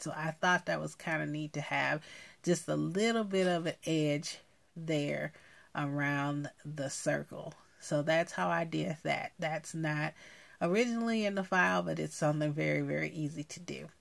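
A woman with a low voice and noticeable vocal fry presents a craft project. She talks casually and explains things in a halting, piece-by-piece way, at an unhurried, slow pace. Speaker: craft video host.

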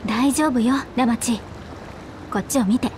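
A woman's voice: a little high, unhurried, a little mellow, a little hiss or hum, close to an everyday voice. A woman voicing a composed, caring companion in a fantasy video game, with firm, feminine speech. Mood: reassuring. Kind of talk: dramatic video game voice acting.

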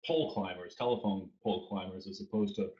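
Fiftyish Boston man, lowish voice, rambling guest, roomy muffled webcam audio. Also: fairly even intonation, brisk, dark tone, a little hiss or hum. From Boston area. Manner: rambling with fillers and restarts. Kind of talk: interview answers. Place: home room. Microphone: video call webcam.

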